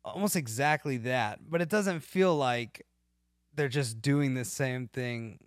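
A man's voice: medium pitch, slow pace, dry recording. A man hosting a podcast, chatting casually about music and often searching for his words. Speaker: podcast host. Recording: dry, music playing behind.

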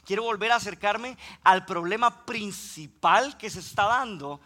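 Costa Rican male guest preacher, high bright voice, sermon delivery that builds in intensity. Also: unhurried. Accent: Costa Rican Spanish. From Costa Rica. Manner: builds in intensity when preaching, reads Scripture aloud.

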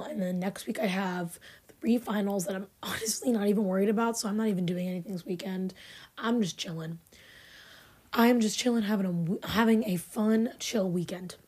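A young woman in her twenties talks casually as a podcast host, chatty and a little sarcastic. Her voice is raspy and sleepy, husky with a mellow, darker tone, and her pitch sits at an ordinary middle range. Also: normal speed. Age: 20s. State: raspy, just woke up. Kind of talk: casual chat. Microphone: filmed podcast setup.

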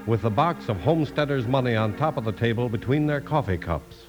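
A man's voice: medium pitch, expressive, brisk, soft background music. A man giving scripted narration for an old-time radio western drama.

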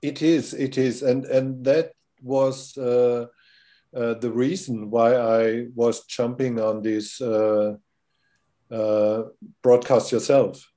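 A man in his sixties with a German accent, a podcast guest in an interview, speaking haltingly with many fillers as he searches for words. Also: mid-range voice, level tone, mellow. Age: 60s. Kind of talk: interview conversation.

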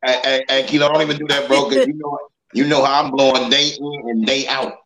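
A man's voice: mid-range voice, level tone, fast, crowd noise. A man, bantering in a laid-back, boastful way as a guest in a casual chat. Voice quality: raspy. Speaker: guest, singer. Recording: roomy with background noise.

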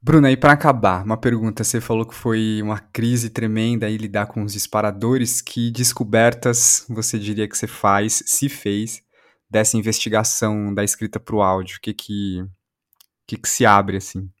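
A man's voice: lowish voice, fairly even intonation, normal speed, fairly bright tone. A Brazilian man asking conversational interview questions, rephrasing them tentatively as he goes.

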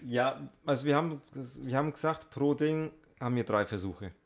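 A man's voice: medium pitch, moderate pace, gravelly. A man co-hosting a podcast in informal, playful chat. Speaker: podcast co-host.

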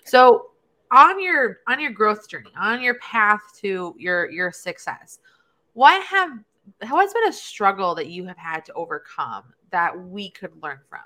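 A woman's voice: mid-range voice, sing-song, measured pace, bright, forceful tone. A woman hosting an interview, affirming her guest's points and asking long, reflective questions. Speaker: interviewer host. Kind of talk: podcast interview.

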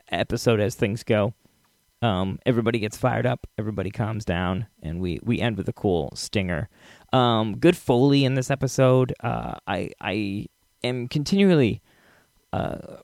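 Creaky man, casual podcast host. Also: mid-range voice, darkish tone, no room sound, studio quality. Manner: hesitant, rambling, wryly amused. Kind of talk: casual solo commentary. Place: dry, close-miked recording.

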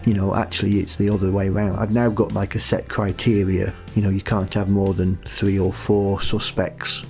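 Man, low voice, explanatory and conversational, writer in a relaxed interview, music behind. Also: moderate pace. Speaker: audio drama writer. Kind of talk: casual interview chat.

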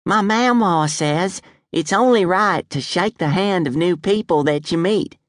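A man's voice: high voice, unhurried, no room sound, studio quality, pitched above the performer's own voice. A reader voicing a chatty, bold five-year-old girl, curious and blunt.

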